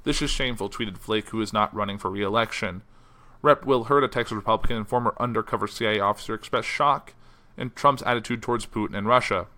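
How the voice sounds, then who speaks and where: Male podcast host, quick and bright, a bit hoarse, casual news reader. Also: fairly low pitch. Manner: reads quotes in a flat newsy voice, then chats loosely with fillers. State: losing his voice. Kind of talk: solo news podcast, reading articles aloud. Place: house.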